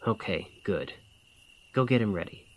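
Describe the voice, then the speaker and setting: A man acting a bandit leader in an ASMR fantasy roleplay, speaking in a colloquial, deliberate, conspiratorial manner. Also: fairly low pitch, animated, a little hiss or hum, lightly acted. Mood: commanding, hushed planning. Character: gruff but caring male bandit leader, scheming, informal. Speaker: voice actor in audio roleplay.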